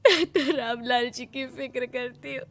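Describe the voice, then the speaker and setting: A woman voicing a poor, gentle young village wife in a cartoon story, meek and tearful. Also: high voice, unhurried, pitched above the performer's own voice.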